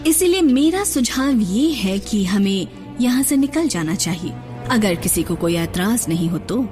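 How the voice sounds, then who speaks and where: A woman's voice: mid-range voice, expressive, measured pace, natural voice. A woman voicing a cunning female robot Decepticon commander in a cartoon dub, speaking in a cold, commanding, scheming manner. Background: music.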